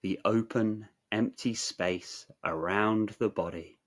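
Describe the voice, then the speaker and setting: A man narrating a guided meditation in a measured, soothing, instructional way, leaving long pauses. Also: on the low side, fairly even intonation.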